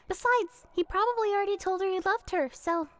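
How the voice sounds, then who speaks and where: A woman's voice: very high-pitched, fairly quick. A woman playing a sweet, unbothered young girlfriend in an audio drama. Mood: amused.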